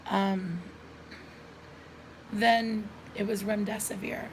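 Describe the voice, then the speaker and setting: A middle-aged woman with a hoarse voice and frequent coughs, telling viewers a personal story in an earnest, chatty way, recorded at home on a camera mic. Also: mid-range voice, little pitch movement, extremely slow, a little hiss or hum, low-quality recording. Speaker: lifestyle vlogger.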